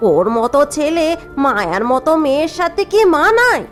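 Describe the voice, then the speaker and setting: A woman as a high-voiced, snobbish sister-in-law. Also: sing-song, normal speed, faint music behind. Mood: spiteful. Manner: mocking, gossipy. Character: spiteful, snobbish elder sister-in-law, a young housewife. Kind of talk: cartoon voice acting.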